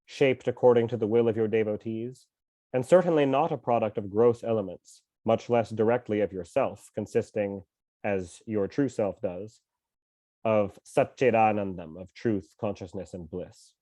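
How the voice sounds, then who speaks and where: A man who is mildly ill with a cough reads a scripture translation aloud at home, in a measured, slow recitation with pauses. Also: fairly low pitch, mellow, no room sound. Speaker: scripture reader and teacher.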